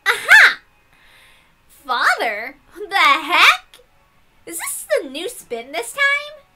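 A woman's voice: very high voice, huge pitch swings, slow, over loud music, voice pushed up, heavily acted. Woman acting a squealing, teasing girlish witch. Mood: incredulous. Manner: exaggerated, teasing.

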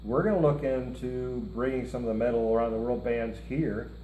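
A middle-aged man rambles casually through his thoughts, tossing in joking asides. His voice is mellow and mid-pitched. It echoes around a roomy indoor space over a faint hiss. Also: steady tone, brisk. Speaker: co-host of a music reaction show. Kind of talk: casual chat. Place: indoor room, seated at a table before a lit curtain.

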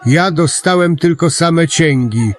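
Despairing radio-play hero, male actor: bitter, downcast, slightly high voice. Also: slow pace. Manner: bitter, dejected. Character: bitter, despairing man shown a world without him. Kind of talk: amateur radio play acting.

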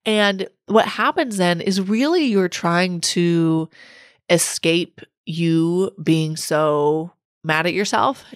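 A woman in her thirties hosting a solo podcast, her voice a little raspy from a cold, talking in an earnest, reflective coaching way. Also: on the low side, slow, dry recording, studio quality. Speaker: podcast host.

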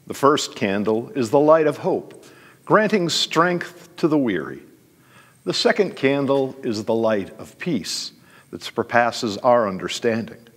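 An older man reading a candle-lighting liturgy aloud in a church, with an expressive, sing-song delivery. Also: mid-range voice, a little slow, in a large hall. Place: church sanctuary, indoors.

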